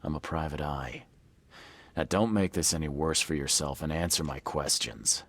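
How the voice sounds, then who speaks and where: A man playing a weary, hard-boiled private eye in a video game, with a gravelly voice and a terse, dry manner. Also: normal speed, fairly bright tone, exaggerated voice. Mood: stern, probing. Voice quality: very deep, gravelly, rough. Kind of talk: video game cutscene acting.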